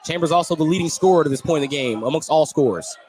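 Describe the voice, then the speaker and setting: A man's voice: on the high side, fast. A man calling live basketball play-by-play for radio, describing each move in detail. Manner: detailed, descriptive calling, shouting on big plays. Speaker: play-by-play radio sports commentator. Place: indoor basketball gym, courtside broadcast. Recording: loud gym noise behind commentary. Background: loud ambient game noise.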